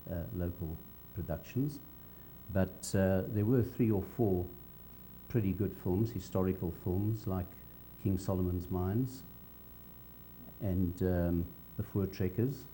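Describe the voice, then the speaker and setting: An older man with a South African accent recalls his working life in an interview, speaking in a measured, halting way. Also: mellow. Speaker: interviewee, veteran cinema cameraman. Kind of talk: on-camera reminiscence. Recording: dull low hum, faint background noise.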